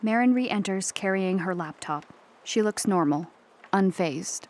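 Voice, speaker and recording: A woman's voice: mid-range voice, lively intonation, unhurried, darkish tone. A woman reading stage directions as scripted narration for a play.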